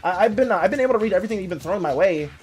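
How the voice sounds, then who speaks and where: A streamer chatting with their viewers in a loose, rambling way, reacting to each message as it arrives. The voice sits in a middle pitch but swings widely up and down. Soft music plays faintly in the background. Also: rapid-fire. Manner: animated, rambling, reacts to chat. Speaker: art and game streamer. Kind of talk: casual livestream chat with viewers.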